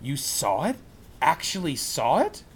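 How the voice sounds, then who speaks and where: A man's voice: on the high side, huge pitch swings, a little slow, slight background noise. A man reading a storybook aloud, voicing a cautious, sensible young boy who asks careful, hesitant questions.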